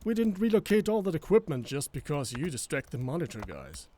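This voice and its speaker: An actor playing a wry, teasing military superior officer in an animated comic dub, with a sardonic manner. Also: male voice, on the high side, a little fast. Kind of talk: animated fandub comic voice acting.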